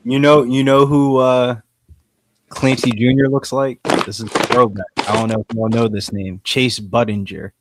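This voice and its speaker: A man's voice: medium pitch, little pitch movement, normal speed, very noisy. A man co-hosting a live fight watch-along, talking in loose, slangy banter. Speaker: co-host, fight commentator.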